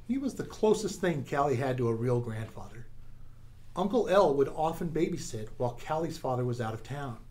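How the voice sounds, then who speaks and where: A man narrating a radio drama in a suspenseful storytelling style, with deliberate dramatic pauses. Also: medium pitch, a little mellow, lightly acted.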